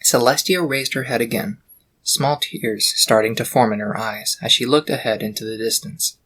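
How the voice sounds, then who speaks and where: A man's voice: medium pitch, moderate pace, bright, forceful tone, a little hiss or hum. A man reading scripted storybook narration for a fan fiction.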